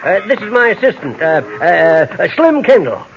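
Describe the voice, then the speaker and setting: A man's voice: sits high, big pitch swings, normal speed, highs cut off. An actor playing a fat little boastful rainmaking con-man in an old radio western, with folksy rural American Western patter. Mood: folksy boasting. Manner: folksy salesman patter, drawling dialect. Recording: vintage radio drama recording with music.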